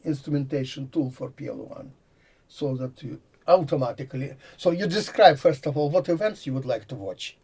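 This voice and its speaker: Older Latvian-accented man explaining slowly. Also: on the high side, lively intonation, darkish tone, breathy edge. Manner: drops articles, thinks aloud with pauses. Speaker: guest, computer science professor. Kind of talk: explanatory conversation.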